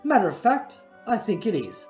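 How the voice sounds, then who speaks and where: A woman's voice: mid-range voice, wildly swooping pitch, moderate pace, a little mellow. A woman playing an earnest, affectionate young man in love in an audio play, eager to prove himself. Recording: muffled audio, faint music and chatter.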